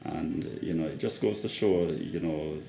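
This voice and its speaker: A man's voice: a bass voice, unhurried, creaky, mellow. A man interviewing in casual, reflective conversation. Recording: echoey room, faint music.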